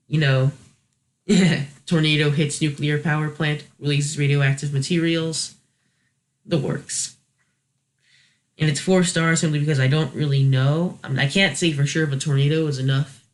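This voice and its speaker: A man hosting a review video with casual, unscripted commentary, hesitant and thinking aloud as he corrects himself. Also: on the high side. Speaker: host of a video review series.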